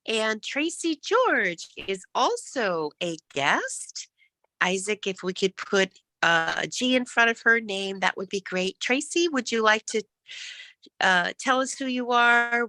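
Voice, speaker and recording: A woman hosting an online meeting, effusive and enthusiastic. Her voice is bright and swoops widely in pitch, and she speaks slowly. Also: lively intonation, no room sound. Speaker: meeting president welcoming guests. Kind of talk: online club meeting chat.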